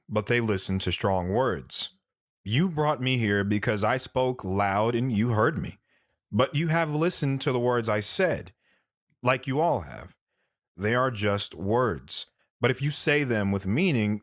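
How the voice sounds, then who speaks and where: A man playing a sorrowful, philosophical lone wanderer in an audio drama, speaking earnestly and deliberately. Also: on the low side, lively intonation, fairly bright tone, no echo, studio quality. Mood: brooding.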